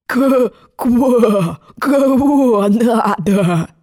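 Storybook role: female reader as fat old frog doorman. Hoarse croak, dragged-out words, dim, grumpy. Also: a little high, lively intonation, mellow. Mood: gruff. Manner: drawn-out grumbling, punctuated with croaks.

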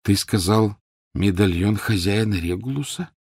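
A man voicing an urgent, commanding teenage boy hero in a storybook reading, with a forceful, demanding manner. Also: lowish voice, a little slow, breathy edge, no room sound, clean studio sound. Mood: insistent.

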